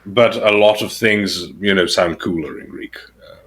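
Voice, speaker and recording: A man in casual podcast talk, explaining things at length with many filler words and pauses. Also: lowish voice, fairly bright tone. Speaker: podcast guest. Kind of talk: casual conversation.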